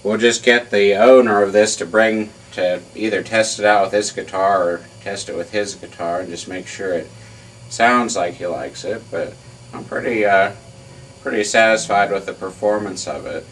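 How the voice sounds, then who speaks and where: A man giving an informal hands-on technical demonstration in a halting, matter-of-fact way, as a repair hobbyist. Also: on the low side, a bit bright, a little hiss or hum, low-quality recording. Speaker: amplifier repair hobbyist demonstrating his work.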